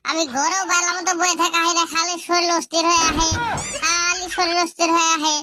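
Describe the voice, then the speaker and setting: Squeaky whiny cartoon schoolkid. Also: flat delivery, a little fast, over loud music. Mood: whiny, grumbling. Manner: rambling, grumbling, quarrelsome. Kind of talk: comedy cartoon dialogue in colloquial Bangla.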